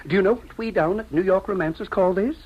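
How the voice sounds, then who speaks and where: A man's voice: high-pitched, brisk, husky. An actor playing a brash, slick salesman in an old-time radio drama, with breezy, boastful patter. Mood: breezy, familiar. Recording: muffled vintage radio recording.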